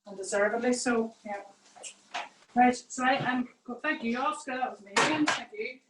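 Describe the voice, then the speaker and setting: A woman's voice: on the high side, moderate pace, noisy background. Distant, echoey woman hosting from a kitchen. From Northern Ireland. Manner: warm-hearted, effusive praise, stumbles. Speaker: chef and event host. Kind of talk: hosting a live virtual event. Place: home kitchen. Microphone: video call.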